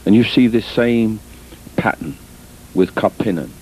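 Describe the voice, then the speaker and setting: Low male voice, soft dark tone, instructional film commentary, deliberate phrasing with pauses, old VHS tape with faint noise and rumble. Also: rough recording. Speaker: rowing coach commentator.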